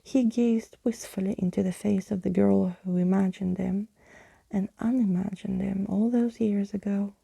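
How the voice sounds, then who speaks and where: Very mellow, breathy woman reading softly. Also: medium pitch, steady tone, normal speed, no room sound. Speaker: ASMR reader. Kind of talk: soft ASMR storybook narration. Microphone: close microphone.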